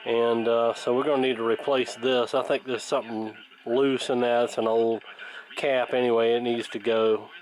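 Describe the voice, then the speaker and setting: Halting, plainspoken man thinking aloud. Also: mid-range voice, flat delivery, soft background music, poor audio. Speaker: radio repair hobbyist and video host. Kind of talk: casual hands-on workshop commentary.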